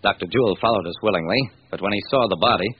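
Driven police detective narrator male actor, fast and wry, vintage radio crime serial with heavy hiss. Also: lowish voice, lightly acted. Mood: tense, matter-of-fact. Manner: hard-boiled, wry. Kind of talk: old-time radio crime drama narration. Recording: dull, noisy vintage radio recording.

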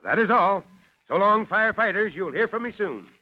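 Higher-voiced, authoritative fire chief. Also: male voice, lively intonation, moderate pace. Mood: official, instructive. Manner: crisp, official, addressing children. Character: authoritative fire chief instructing young listeners. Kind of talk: old-time radio scripted address. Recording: dull vintage radio recording.

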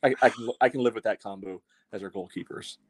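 A man with a slightly low voice speaks close and dry, with no room sound. He gives casual sports analysis as a co-host, in a measured way that qualifies each opinion. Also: no room sound. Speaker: co-host of a soccer fan show.

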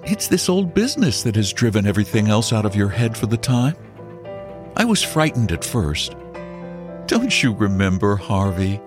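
A man voicing a gentle, kind young female stenographer who speaks softly. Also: on the low side, big pitch swings, moderate pace, music in the background, pitched above the performer's own voice. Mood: soft tender. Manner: soft-spoken.

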